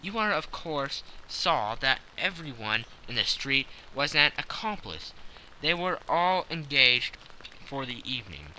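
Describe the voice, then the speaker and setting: Confident gentleman sleuth, played by a male reader, precise and self-satisfied, keen forward tone with lively inflection. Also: medium pitch, a little slow, slight background noise, heavily acted, rough recording. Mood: self-satisfied, explaining. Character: confident, analytical gentleman detective explaining his schemes. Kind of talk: audiobook character acting.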